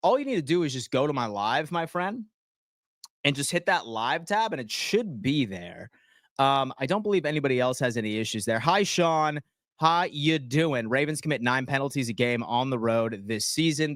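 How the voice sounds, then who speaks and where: A man, hosting a casual sports livestream and answering viewers' comments in slangy, animated talk. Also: mid-range voice, normal speed, dry recording, clean studio sound. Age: 30s. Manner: slangy, animated, reads chat aloud and answers.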